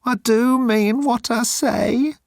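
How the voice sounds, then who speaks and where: A man voicing a timid, pleading young alien officer with a squeaky, flustered voice in a sci-fi audiobook. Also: fairly even intonation, slow, vocal fry, no echo, clean studio sound, exaggerated voice. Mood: anxious, flustered. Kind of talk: audiobook character acting.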